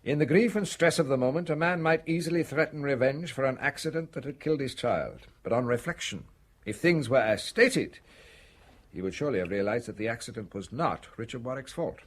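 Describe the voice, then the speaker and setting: An actor playing a courteous, probing English police inspector in a radio drama, measured and politely hesitant. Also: male voice, medium pitch, lively intonation, natural voice. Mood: measured, insistent.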